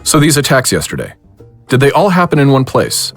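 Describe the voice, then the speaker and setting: A man playing a calm, learned occult investigator in an audio drama, explaining things in measured, wordy sentences. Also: medium pitch, soft background music. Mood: calm, explanatory.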